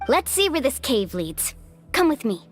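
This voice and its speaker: A woman's voice: high voice, sing-song, moderate pace, faint music behind. A woman playing a confident, friendly young adventure guide in a game, encouraging and earnest. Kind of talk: animated game voice acting.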